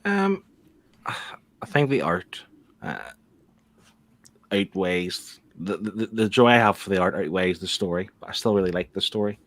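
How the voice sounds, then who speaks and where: A man with a somewhat low voice gives a casual review as a panelist. He sounds tentative, working out his thoughts aloud as he talks. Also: lively intonation, unhurried, pauses often, a bit bright. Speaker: book club panelist.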